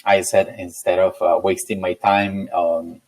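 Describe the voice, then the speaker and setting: Low-voiced Peruvian man being interviewed. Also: little pitch movement, unhurried, darkish tone. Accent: Peruvian Spanish. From Peru. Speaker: interview guest, tech company founder. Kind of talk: conversational interview answers.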